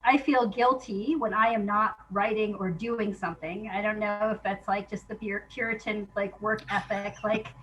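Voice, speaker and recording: A woman talking casually and reflectively as an interview guest, in an informal, halting way, thinking aloud as she goes. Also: medium pitch, fairly even intonation, fairly bright tone, low-quality recording.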